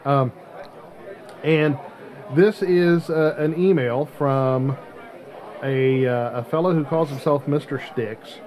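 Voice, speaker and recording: A man's voice: fairly high pitch, slow pace, pauses often, a little mellow, some chatter behind. A man hosting a casual podcast, reading out listener mail and bantering in a joking, teasing way. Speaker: podcast host.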